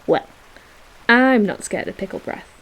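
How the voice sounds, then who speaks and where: A woman's voice: a little high, unhurried, poor audio. A woman acting a playful, affectionate girlfriend in a romantic audio roleplay, with a theatrical, teasing manner. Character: playful, dramatic, affectionate girlfriend on a beach picnic. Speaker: voice actor.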